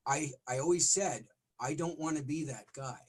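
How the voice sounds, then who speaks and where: Smoky-voiced middle-aged rock singer. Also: male voice, fairly high pitch, moderate pace. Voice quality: smoky, raspy voice. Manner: rambling, frequent restarts and fillers. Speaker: rock vocalist guest. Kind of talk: casual podcast interview.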